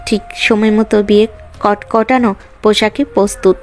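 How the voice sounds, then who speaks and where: A woman's voice: medium pitch, fairly even intonation, moderate pace, faint music behind. A woman reading scripted narration for a romantic story.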